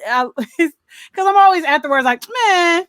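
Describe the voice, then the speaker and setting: Female American podcast co-host, high voice, dry close sound, slangy casual banter. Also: expressive, moderate pace, fairly bright tone, no echo. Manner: laughs often, slangy banter. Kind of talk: casual movie-podcast chat.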